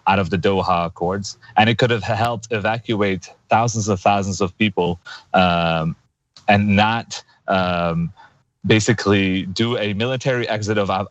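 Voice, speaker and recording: A man in his thirties answering interview questions in an earnest, halting way, with many fillers and restarts. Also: sits low, rough recording. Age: 30s. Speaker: guest activist.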